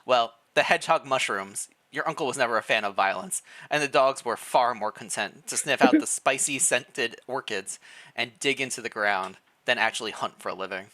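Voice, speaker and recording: A streamer reading fan fiction aloud in a playful, expressive way, mixed with casual livestream chat. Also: medium pitch, brisk, hardly any pauses.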